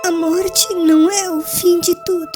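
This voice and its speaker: A woman playing a gentle, devout dying young bride in an audiobook drama, speaking weakly, slowly and tenderly in a halting way. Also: very high voice, steady tone, soft background music. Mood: frail, consoling. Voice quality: weak, coughing.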